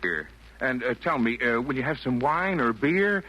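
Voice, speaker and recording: A man's voice: mid-range voice, animated, moderate pace, sharp tone. An actor in a 1940s radio play voicing a kindly, jolly old man with genial, lilting, theatrical delivery of rhymed verse. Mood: genial, eager. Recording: noisy vintage 1940s radio broadcast.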